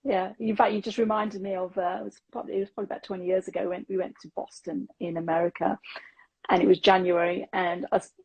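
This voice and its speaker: A woman's voice: medium pitch, flat delivery, fast talker, a little mellow, dull audio. A middle-aged woman, a business coach hosting a podcast, upbeat and encouraging with ready laughter. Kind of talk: casual interview chat.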